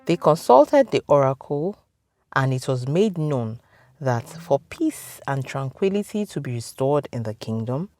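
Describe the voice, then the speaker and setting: A Nigerian woman tells a folktale in a deep, mellow voice. She takes her time, phrasing dramatically with a very expressive rise and fall. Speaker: storyteller. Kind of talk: scripted folktale narration.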